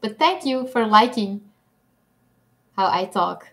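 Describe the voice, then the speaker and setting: A young woman with a Belgian Dutch accent, chatting unhurriedly in English on a casual live stream. She giggles easily and is quick to tease herself, her voice sitting at a middle pitch and moving along at a leisurely pace. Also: expressive. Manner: chatty, giggly, self-deprecating. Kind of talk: casual live stream chat reading comments.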